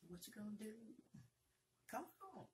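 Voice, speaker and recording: A woman's voice: medium pitch, very animated, a little hiss or hum. A middle-aged American woman doing a casual ASMR roleplay as a flirty, playful blackjack dealer. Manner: flirty, playful, unhurried with drawn-out phrases.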